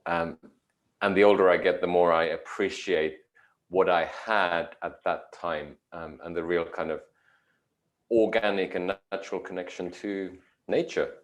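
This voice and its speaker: A man from Finland, a yoga teacher giving measured, thoughtful interview answers with frequent pauses. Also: low voice, steady tone. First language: Finnish.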